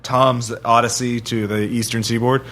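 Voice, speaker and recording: A man hosting a podcast in casual chat, speaking in a halting, agreeable way. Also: medium pitch, flat delivery, a bit bright. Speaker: podcast host.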